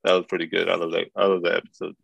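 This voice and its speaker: A man's voice: low-pitched, flat delivery, racing, creaky, a bit bright, no room sound, highs cut off. A man co-hosting a podcast, chatting casually and teasing his friends with frequent laughs. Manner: joking, teasing, laughs often. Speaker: podcast co-host. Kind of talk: casual chat.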